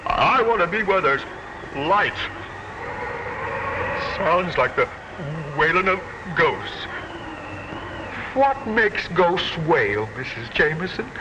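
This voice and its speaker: A jittery, stammering tavern hand. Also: male voice, high voice, sing-song, takes it slowly, long pauses, exaggerated voice. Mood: nervous. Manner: nervous, stammering. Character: jittery young tavern hand, easily spooked. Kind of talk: 1940s radio acting. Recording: dull old radio recording with hiss.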